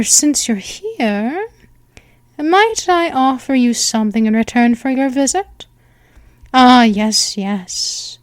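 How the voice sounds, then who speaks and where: A woman voicing a slow, soothing vampire mistress. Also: high-pitched, no echo, studio quality. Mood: reassuring. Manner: soothing, hypnotic, slow and coaxing. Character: ancient, calm, seductive female vampire mistress. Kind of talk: hypnosis ASMR roleplay.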